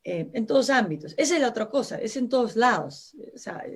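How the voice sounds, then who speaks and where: A woman with a Brazilian accent, a native Portuguese speaker, talks through a live radio interview as the invited expert. Her voice sits a little high, and she explains earnestly, often restarting her phrases and padding them with small fillers. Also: animated, fairly quick, breathy edge. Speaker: guest expert.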